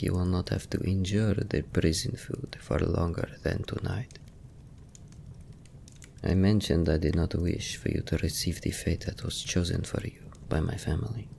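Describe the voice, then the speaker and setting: Man voicing a merciful vampire lord's son, very gravelly, slow, formal and soft-spoken, ASMR fantasy roleplay. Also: low-pitched, a little hiss or hum, full character voice. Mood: courteous, then grave, hushed. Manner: formal, archaic, softly spoken. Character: gentle, sorrowful young vampire nobleman, secretly merciful.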